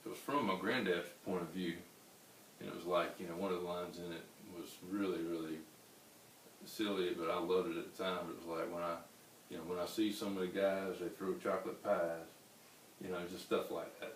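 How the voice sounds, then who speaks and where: Low-voiced baritone man, roomy distant sound. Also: fairly even intonation. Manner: unhurried, rambling storytelling. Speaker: singer-songwriter guest. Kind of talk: casual interview answers. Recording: roomy, distant mic with faint hiss.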